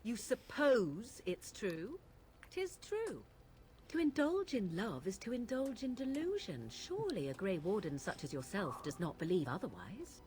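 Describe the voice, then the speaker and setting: A woman's voice: medium pitch, big pitch swings, measured pace, darkish tone. A woman playing an aloof, cynical young witch from the wilds in a fantasy game, speaking in formal, archaic phrases with haughty disdain. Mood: scornful. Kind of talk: fantasy video game acting.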